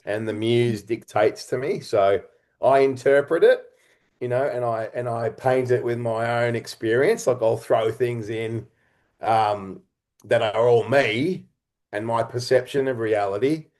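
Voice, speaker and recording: Male interview guest, mid-range voice, creative type, thoughtful and expressive, conversational storytelling in broken phrases. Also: mid-range voice, unhurried.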